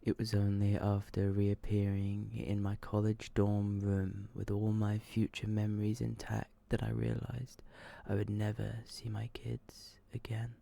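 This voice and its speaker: Male ASMR horror narrator. Dark, mellow, breathy and hushed, very slow and subdued, edging into whisper. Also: lowish voice, monotone. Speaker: ASMR storyteller. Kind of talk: ASMR horror story reading.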